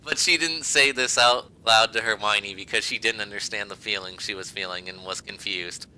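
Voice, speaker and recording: Bright, forceful man reading aloud, amused. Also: lowish voice, moderate pace, smooth, poor audio. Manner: breaks into laughter while reading, hammy emphasis. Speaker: podcast host reading fan fiction aloud. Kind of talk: amused read-aloud of amateur fan fiction.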